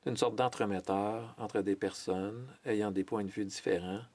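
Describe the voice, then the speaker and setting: Low-voiced man interpreting a formal speech. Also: fairly even intonation, darkish tone. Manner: measured, with hesitations and self-corrections. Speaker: French interpreter of a Senate speech.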